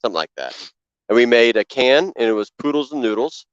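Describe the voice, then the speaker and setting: A man's voice: medium pitch, fast talker, a little hiss or hum. A man co-hosting a comedy podcast, chatting casually and spinning absurd schemes with his friends. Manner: animated, spins absurd schemes. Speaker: podcast co-host. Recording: dull lo-fi podcast audio.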